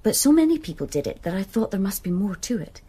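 An actress playing an enthusiastic friend who explains history in a radio drama, with a husky voice. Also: female voice, mid-range voice, very animated, fast, mellow. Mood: eager. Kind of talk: BBC radio drama acting.

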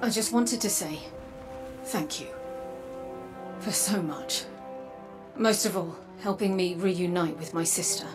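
Weary, resolute robot woman over music. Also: fairly low pitch. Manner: earnest, measured, solemn. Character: weary, resolute robot woman, a guarded sister from dark future. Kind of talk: dramatic video game acting. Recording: game music behind the voice. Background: game soundtrack music.

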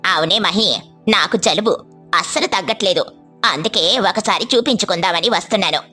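A woman's voice: medium pitch, brisk, bright, forceful tone, soft background music, pitched below the performer's own voice. A woman voicing a kindly, easygoing crow neighbour in a cartoon story, reassuring and matter-of-fact. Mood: complaining.

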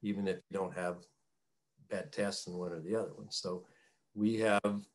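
A middle-aged farmer with a fairly low voice answers questions on a video call. He is a plain-spoken man, talking in a casual, folksy way. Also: steady tone, normal speed. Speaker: farmer, guest. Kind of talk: casual interview talk. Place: small wood-panelled room at home. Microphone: computer mic on a video call.

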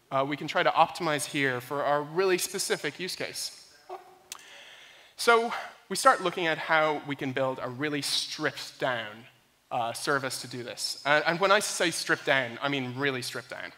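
A young man giving a prepared technology talk at a conference in a measured, explanatory way. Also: fairly high pitch, pushed and bright. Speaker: software engineer, conference speaker. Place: indoor conference room, speaking on stage. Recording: reverberant hall sound.

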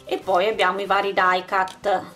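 A woman's voice: mid-range voice, completely flat delivery, normal speed, fairly bright tone. A woman hosting a craft channel, casually narrating an unboxing and presenting items one by one in an informal way. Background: background music.

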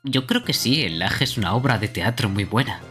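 A man's voice: mid-range voice, animated, fairly quick, fairly bright tone, faint music behind. A man streaming a game, chatting casually and reading things off as he plays. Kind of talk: casual live gaming chat.

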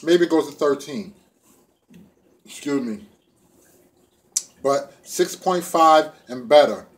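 Man, middle-aged, somewhat high pitch, casual food vlog, laid-back, playful, colloquial, recorded indoors at home on a nearby camera. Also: animated, slow. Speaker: vegan food vlogger. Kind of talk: casual chat to camera while eating. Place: small room indoors at home. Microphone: phone or camera propped within arm's reach.

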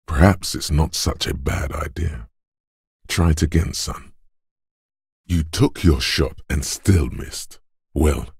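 A man voicing the gruff, macho inner voice of the body in a video game, narrating in the second person with slow, weighty, goading delivery. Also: darkish tone, dry recording, studio quality, heavily acted. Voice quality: husky, gravelly bass.

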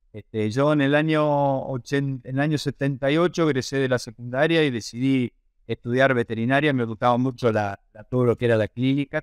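A man's voice: medium pitch, clean tone, no room sound, studio quality. An older man with an Argentine accent, explaining his work as an interview guest in a conversational way. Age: late 50s to 60s. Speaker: interview guest, pig production researcher and lecturer. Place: small indoor office on a video call. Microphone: wired earphone headset.

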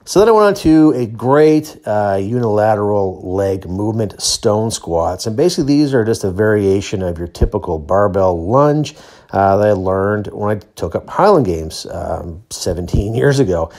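A man's voice: lowish voice, animated, moderate pace, darkish tone. A middle-aged man giving a casual, plain-spoken voice-over of training footage, explaining as he goes. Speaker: athlete and training vlogger.

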